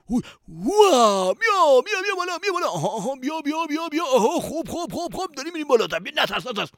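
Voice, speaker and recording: A man's voice: high-pitched, no echo, studio quality. A man voicing a big, boastful, playful spring wind in a children's story, whooshing and laughing as he talks. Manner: whooshing, laughing, sing-song shouts.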